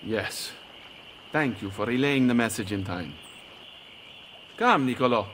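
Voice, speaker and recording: A man playing an expressive in a Renaissance video game drama. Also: medium pitch, a little slow, a little hiss or hum. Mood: earnest.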